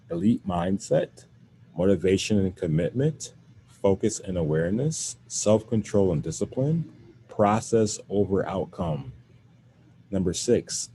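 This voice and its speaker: A man's voice: deep voice, mellow, slight background noise. A man who speaks with a stutter, giving a workshop talk to educators as a mental performance coach over a video call.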